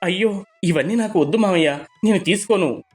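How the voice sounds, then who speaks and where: A man's voice: high voice, a little fast, a little mellow, soft background music, natural voice. A man playing a humble, flustered poor villager in a moral story. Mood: flustered, refusing.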